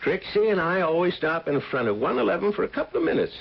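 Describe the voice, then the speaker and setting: Wildly animated, nosy dog-walking man. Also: high voice, fast, creaky, slightly rough, heavily acted. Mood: indignant, huffy. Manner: chatty, indignant, uneducated working-class speech. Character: nosy, folksy, defensive neighbourhood dog walker. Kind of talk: old-time radio drama acting. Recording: muffled, noisy old radio broadcast.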